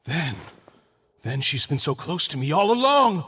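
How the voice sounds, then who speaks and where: A haunted male convict in an anime game. He pleads and stammers in a highish voice that lurches up and down, with a long reverb around it. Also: sing-song, unhurried, bright, forceful tone, slightly rough, exaggerated voice. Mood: stunned, pleading.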